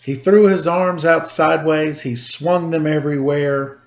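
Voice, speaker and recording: A man reading a children's storybook and chatting in a playful, expressive, encouraging way. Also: a little high, a little slow, dull audio.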